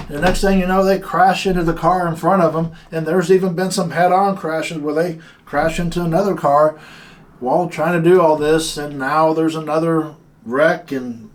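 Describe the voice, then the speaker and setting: A man who runs a security company, telling a story from work and giving guards earnest, plain-spoken warnings. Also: a little high. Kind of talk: casual instructional talk.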